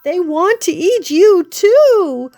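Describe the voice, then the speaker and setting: A woman's voice: extremely high pitch, animated, takes it slowly, mellow, soft background music, voice pushed up, full character voice. A woman voicing a frightened, panicky male skeleton in a children's storybook, with a screechy, urgent delivery. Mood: panicked. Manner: alarmed, urgent exclaiming.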